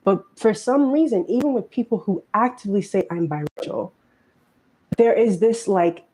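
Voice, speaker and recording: A woman giving earnest, emphatic social commentary in a video clip, talking in quick bursts. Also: mid-range voice, warm tone, a little hiss or hum, poor audio.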